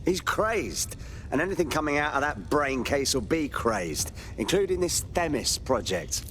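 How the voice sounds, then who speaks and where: An actor playing an uneasy, sweary resistance operative in a video game. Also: male voice, fairly high pitch, very animated, a little slow. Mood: uneasy, irritated. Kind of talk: scripted video game dialogue. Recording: loud background noise.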